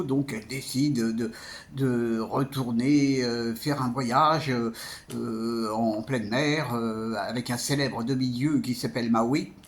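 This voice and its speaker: A man giving a casual spoken film review as a vlogger, hesitant and often repeating words. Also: mid-range voice.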